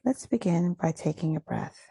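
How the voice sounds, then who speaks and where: A woman leading a guided meditation, giving gentle, soothing instructions with unhurried phrasing and pauses. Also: on the low side, animated, husky, dry recording.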